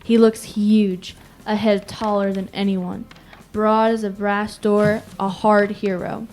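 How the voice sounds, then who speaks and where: A woman's voice: mid-range voice, level tone, slow, a little mellow. A teenage girl playing an urgent announcer in a verse radio play, declaiming in short phrases as she watches a city fall. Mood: alarmed. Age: teens. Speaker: student actor. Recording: music behind the voice.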